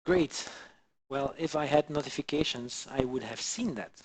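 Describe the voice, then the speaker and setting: A man from Belgium chatting casually with his live-stream viewers on a headset mic while modelling, halting and full of fillers. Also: mid-range voice, husky, no echo, rough recording. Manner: halting, many fillers, answers chat viewers. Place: home in the Alpine region.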